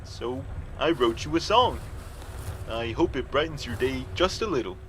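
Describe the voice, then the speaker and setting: An actor playing an earnest, clingy, dorky office pal in a video game, folksy and awkwardly sincere. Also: male voice, mid-range voice, animated, moderate pace, a bit bright, slight background noise, natural voice, low-quality recording. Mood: awkwardly cheerful.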